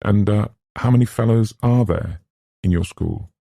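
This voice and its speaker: Man voicing a playful, courteous young woman, husky. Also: a bass voice, animated, normal speed, mellow, no room sound, clean studio sound, heavily acted. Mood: polite interest.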